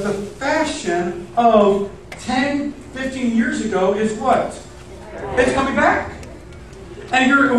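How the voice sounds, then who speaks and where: A man's voice: sits high, distant mic. A man in his thirties preaching casually as a pastor, chatty and informal, engaging his listeners with questions. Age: 30s. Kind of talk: casual sermon to a congregation. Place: indoor church stage in front of seated audience. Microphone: far camera in the audience. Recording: very echoey hall from afar, faint noise.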